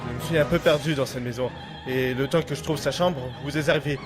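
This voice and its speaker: Man acting an anxious intruder over loud music. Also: mid-range voice, steady tone, fast talker, natural voice. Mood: frightened. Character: anxious guilty man, amateur intruder hiding a killing. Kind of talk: French audio drama acting. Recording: music behind the voice.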